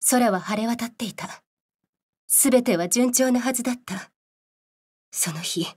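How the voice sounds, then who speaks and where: 深刻な女性科学者、少し高めのかすれ声. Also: female voice, a little high, a little mellow, slightly rough. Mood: solemn, reflective. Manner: measured, serious storytelling. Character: solemn female scientist recounting a grim past. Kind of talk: dramatic game cutscene narration.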